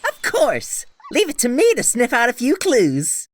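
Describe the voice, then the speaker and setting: A woman voicing an excitable, earnest little Pomeranian spirit, fiercely loyal, in a game fandub. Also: fairly high pitch, a little slow, exaggerated voice. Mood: eager. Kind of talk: animated game fandub acting.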